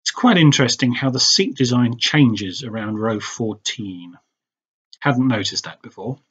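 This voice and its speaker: British guy doing a travel vlog voiceover, bit rough voice, deadpan understatement, middle aged, sound a little muffled. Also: mid-range voice. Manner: dry, wry understatement. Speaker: travel vlogger. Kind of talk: scripted voice-over narration.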